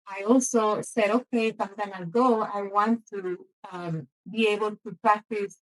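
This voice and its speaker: Measured Mexican-born psychologist woman. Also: medium pitch, fairly even intonation, low-quality recording. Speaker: interviewee. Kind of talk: personal story interview.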